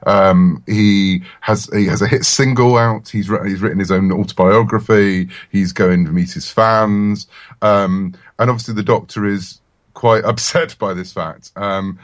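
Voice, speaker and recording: Low-voiced enthusiastic man, dryly recorded. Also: fairly even intonation, unhurried, no echo, studio quality. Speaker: interview guest, comic writer. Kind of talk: casual interview chat.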